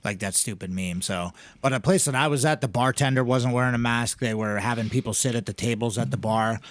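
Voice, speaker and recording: A man co-hosting a casual podcast, rambling and swearing, his pitch jumping up when he gets excited. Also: a little fast, dry recording. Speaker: podcast co-host, gym owner. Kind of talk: casual profane chat.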